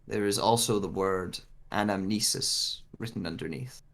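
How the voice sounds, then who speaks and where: A man's voice: deep voice, normal speed, creaky, a bit bright. An actor playing a bewildered searcher with lost memory, narrating in the first person as he reads a dramatic script. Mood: pensive, searching.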